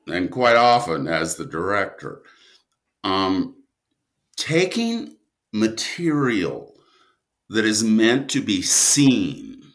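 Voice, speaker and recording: A man speaking as an interview guest, a writer and director who answers conversationally in halting, deliberate, emphatic phrases. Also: medium pitch, fairly bright tone.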